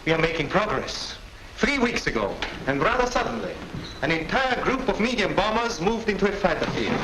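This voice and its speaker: A suave wartime German major conducting an interrogation. His speech is courteous and condescending, swinging freely in pitch. The sound is an old 1940s film track with clear background noise. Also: sing-song, moderate pace. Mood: shrewd, explaining.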